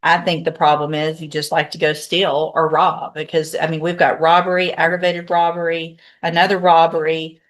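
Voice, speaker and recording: An American woman presiding as a judge, with a slightly low voice. She speaks in a firm, plain-spoken and formal way, as in courtroom proceedings. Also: level tone, normal speed, few pauses, a little hiss or hum. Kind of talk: courtroom sentencing and docket proceedings.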